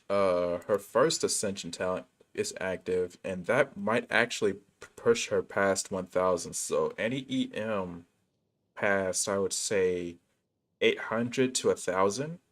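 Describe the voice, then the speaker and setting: Low-voiced man casually livestreaming a game. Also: expressive, takes it slowly, fairly bright tone. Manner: slangy, laid-back bro talk. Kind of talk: casual gaming livestream chat. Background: game music.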